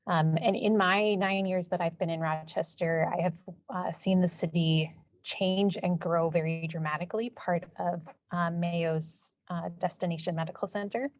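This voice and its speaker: Woman, webinar presenter, slightly low voice, muffled lo-fi call audio. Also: steady tone, moderate pace, no echo. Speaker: associate program director. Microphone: video call.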